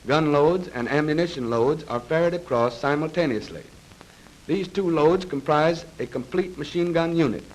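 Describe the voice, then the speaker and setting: A man reads scripted narration for a 1930s military training film in a formal, measured, precise manner, on a vintage film soundtrack. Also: on the high side, low-quality recording. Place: voice-over recorded for a film.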